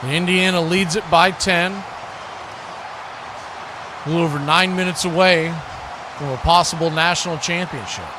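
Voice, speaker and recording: Male play-by-play football announcer, American, fairly high voice, clipped phrasing, clear background noise on a live stream. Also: moderate pace, pauses often. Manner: narrates action in short clipped phrases, repeating words. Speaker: play-by-play sports commentator.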